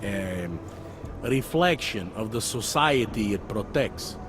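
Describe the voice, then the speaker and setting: An actor playing a weary, self-deprecating older cop near retirement in a video game, talking in a wry, conversational way. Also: male voice, lowish voice, animated, slow pace. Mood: cynical. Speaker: police officer. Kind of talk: video game cutscene dialogue. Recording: background music under dialogue.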